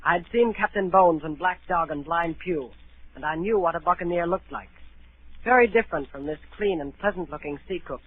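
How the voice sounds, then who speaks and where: A man's voice: a little high, a little fast, husky. A male actor playing an earnest adolescent cabin boy, telling his story wistfully in a 1930s radio drama. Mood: earnest recounting. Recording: narrow-band 1938 radio broadcast.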